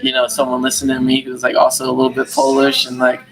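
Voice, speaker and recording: A man's voice: mid-range voice, completely flat delivery, quick pace, gravelly, faint music behind, poor audio. A young man in his twenties from the DC area, a musician guest chatting casually on a podcast with lots of "like" fillers. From Washington DC area, USA. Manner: laid-back, many "like" fillers. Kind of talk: casual interview chat.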